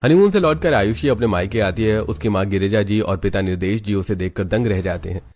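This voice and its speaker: Steady male cartoon narrator. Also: on the low side, brisk. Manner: steady storytelling. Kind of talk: scripted narration.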